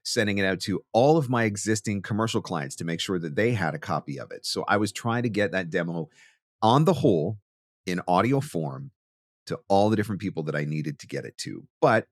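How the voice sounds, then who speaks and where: Low-voiced man hosting an advice podcast. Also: expressive, fairly quick, no echo. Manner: emphatic, conversational, addresses listener directly. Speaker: podcast host; voice actor. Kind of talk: marketing advice podcast monologue.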